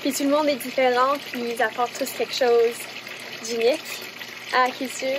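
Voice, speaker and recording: A woman's voice: sits high, fairly even intonation, moderate pace. A young woman answering interview questions casually, using lots of fillers. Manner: fillers of "comme", chatty. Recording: noisy background.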